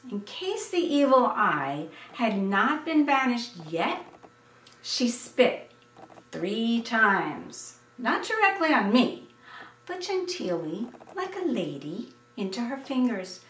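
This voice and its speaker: An American woman in her seventies reading a scripted personal story in an expressive, deliberate way. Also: on the high side. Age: 70s. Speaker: essayist reading her memoir. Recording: roomy, distant microphone.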